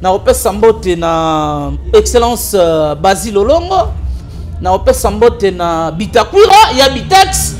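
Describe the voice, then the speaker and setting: A man with a Congolese accent, an animated and argumentative political commentator on a talk show. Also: high-pitched, slow, soft background music. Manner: animated, argumentative, mixes French and Lingala.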